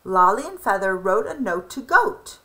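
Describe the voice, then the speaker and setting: A woman reading a children's picture book aloud in an expressive, emphatic and deliberate way. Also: mid-range voice. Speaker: storybook reader.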